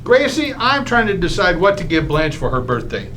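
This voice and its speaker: Man acting high-pitched, fretful radio-comedy neighbor. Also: sing-song, moderate pace, a bit bright, faint music behind. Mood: earnest, fretful. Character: earnest, fretful neighbor man, indecisive husband. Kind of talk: old-time radio comedy acting on stage.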